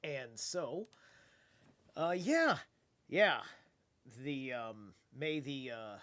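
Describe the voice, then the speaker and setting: Slow, stuffy-nosed man rambling on stream. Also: mid-range voice, sing-song, a bit bright. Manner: rambling and loose, with casual swearing. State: stuffy, congested nose; tired. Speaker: live streamer. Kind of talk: casual late-night stream chat.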